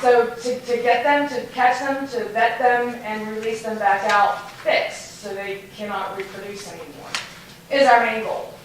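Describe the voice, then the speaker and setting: A woman animal advocate explaining a cat program at a city meeting, with a loud, earnest voice. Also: a little high, a little slow, background noise. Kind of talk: public comment at a meeting. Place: council chamber. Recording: very echoey hall, distant.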